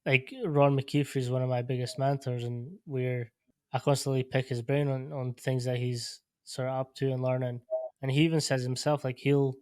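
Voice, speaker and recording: A man hosts a relaxed podcast conversation, speaking at a middle pitch with little rise and fall. His talk is loose and informal, full of fillers, and the recording is dry and close with no room sound. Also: normal speed, smooth, dry recording. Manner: relaxed, leans on "like" and "sort of". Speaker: podcast host. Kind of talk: casual podcast interview.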